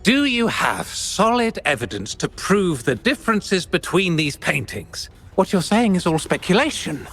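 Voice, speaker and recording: A smug scheming art dealer. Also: male voice, high-pitched, big pitch swings, fairly bright tone, slightly rough, faint music behind, full character voice. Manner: condescending, dismissive, measured. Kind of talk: video game voice acting.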